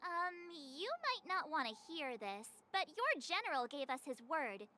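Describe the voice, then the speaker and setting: Bright, very high perky girl. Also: measured pace, heavily acted. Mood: cheeky, awkward. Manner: bubbly, cheeky, expressive. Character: perky, outspoken, teasing teenage adventurer girl. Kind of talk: video game voice acting.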